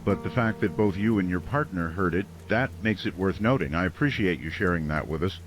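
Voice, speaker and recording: Small-town night radio host acted by a man: polite, even-handed, folksy, on the low side, over clear music. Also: fairly quick, lightly acted, low-quality recording. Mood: polite interest. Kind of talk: radio drama, late-night talk show hosting.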